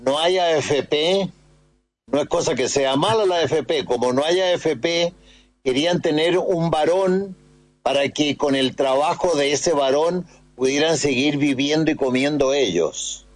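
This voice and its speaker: A man with a slightly higher-pitched voice works as a conversational interviewer. He is engaged and chimes in readily. His sound is very dry, with no room echo. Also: measured pace.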